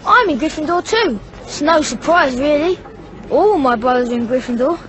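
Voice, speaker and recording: A performer with an English accent playing a friendly, excitable young schoolboy in a video game, chatty and plain-spoken. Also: sits high, moderate pace, slight background noise. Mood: friendly, awkward.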